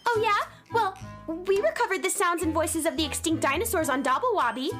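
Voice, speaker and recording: A woman's voice: very high-pitched, lively intonation, a little fast, music in the background. A woman playing a spirited, indignant girl adventurer in a children's radio play, with an earnest, emphatic manner. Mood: boastful, flustered. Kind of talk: children's radio theater acting.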